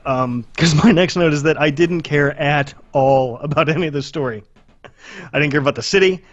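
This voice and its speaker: Higher-voiced man, slightly dull sound. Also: brisk, no room sound. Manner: blunt, profane, hyperbolic. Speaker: podcast host. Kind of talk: casual video game discussion.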